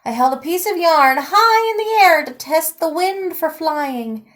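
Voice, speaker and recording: A woman reading a picture book aloud expressively, with deliberate dramatic emphasis and pauses. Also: very high voice, expressive, fairly bright tone.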